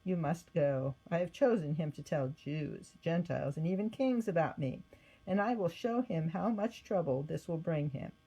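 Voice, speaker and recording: Heavenly lord for a storybook, voiced by a woman: solemn, commanding, declarative, with a mellow tone. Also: deep voice, expressive, moderate pace, breathy edge, full character voice, low-quality recording. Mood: firm, instructing. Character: commanding divine male voice from heaven, solemn, firm.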